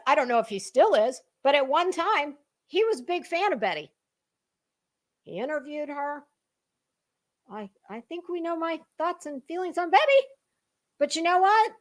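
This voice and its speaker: A woman talking as an online commentator in a casual, dramatic monologue, emphatic and halting, with deliberate pauses and repeated words. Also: sits high.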